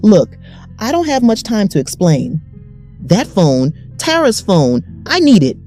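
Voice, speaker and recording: An actress playing a desperate, secretive friend who pleads insistently on a call in an audio drama. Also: female voice, mid-range voice, wildly swooping pitch, a little slow, warm tone, soft background music. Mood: urgent. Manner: urgent, insistent.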